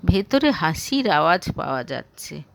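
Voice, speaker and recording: A woman narrating a romantic audio story in an expressive, emotive, dramatic way. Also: on the low side, moderate pace, creaky, no room sound.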